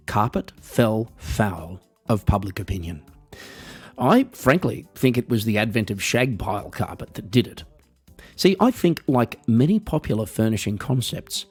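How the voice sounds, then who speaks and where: An Australian man hosting a podcast, delivering a wry, rambling, mock-earnest comedic monologue with a husky voice. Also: mid-range voice. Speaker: podcast host.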